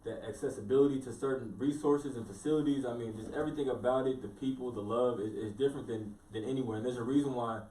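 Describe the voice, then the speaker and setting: A man's voice: medium pitch, quick pace, few pauses, dark tone. A man from Seattle chatting casually about his neighborhood and his music. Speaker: recording artist. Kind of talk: casual chat. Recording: roomy sound from far off.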